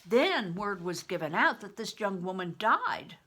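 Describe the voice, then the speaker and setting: A woman's voice: mid-range voice, big pitch swings, measured pace, sharp tone, husky, heavily acted. A woman voicing an earnest older widow in a storybook reading, giving urgent, pleading warnings with heavy emphasis. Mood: confiding, grave. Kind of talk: audiobook character reading.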